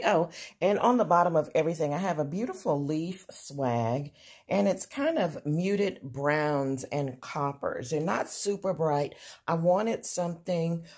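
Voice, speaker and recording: A woman casually showing off her table decorations, soft-spoken and unhurried, with many ums and uhs, filming on a phone indoors. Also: on the low side, breathy edge. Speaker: home decor vlogger. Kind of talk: casual show-and-tell narration.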